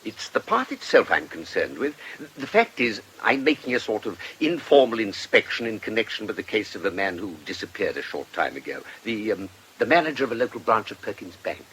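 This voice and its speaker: An actor playing a shrewd, courteous investigator in a British radio drama, speaking precisely and politely with an English accent. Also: male voice, lowish voice, very animated, a little fast, a bit bright, a little hiss or hum, low-quality recording. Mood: mild, probing. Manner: precise, urbane, formally polite. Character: shrewd, courteous male investigator, doctor and lawyer, quietly sly.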